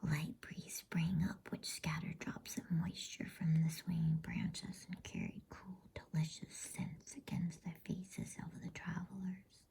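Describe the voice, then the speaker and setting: A woman reading softly in a breathy whisper, in a soothing, unhurried ASMR bedtime story style. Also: on the low side, level tone. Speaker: host and storybook reader.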